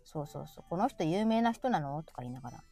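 A middle-aged woman with a Kansai accent, chatting casually and telling everyday stories in an animated, talkative way as a stream host. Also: low voice, husky, no room sound. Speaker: live audio stream host. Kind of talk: casual chat.

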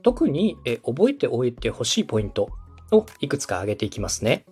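A man in his thirties hosts a podcast with a mid-range voice that moves up and down freely. He explains point by point in polite speech, checking in with his listener, while music plays softly behind him. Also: mid-range voice, huge pitch swings, brisk, breathy edge. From Osaka, Japan. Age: 30s. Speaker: podcast host and radio director. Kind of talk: explanatory podcast talk to a co-host.

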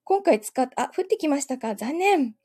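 A woman's voice: sits high, animated, a little slow, dark tone. A woman chatting casually from home on a livestream, giggling often and thanking her viewers as she goes. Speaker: livestream host. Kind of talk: casual chat with live viewers.

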